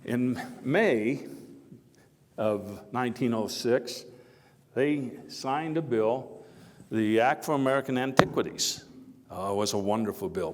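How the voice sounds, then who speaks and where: An actor playing an earnest, folksy statesman orator of early 1900s America in a theatrical first-person speech, emphatic but given to halting digressions. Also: mid-range voice, a little mellow, lightly acted. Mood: earnest, explanatory. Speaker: living-history performer. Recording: echoey hall with long reverb.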